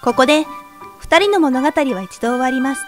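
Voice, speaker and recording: A woman's voice: high voice, animated, moderate pace. A woman narrator reading a scripted fairy tale in a polite, slightly wry storytelling manner. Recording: music behind the voice.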